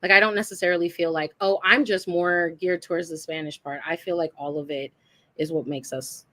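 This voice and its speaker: A woman co-hosting a casual podcast chat, talking in an animated, chatty way and thinking aloud as she goes. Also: on the low side, brisk. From Puerto Rican heritage, raised in the US. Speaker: podcast co-host.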